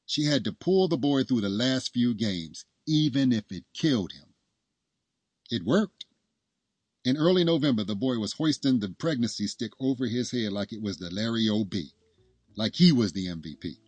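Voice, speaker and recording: A man's voice: mid-range voice, lively intonation, moderate pace, a little mellow, slightly rough, no echo. A man reading a scripted story as its narrator.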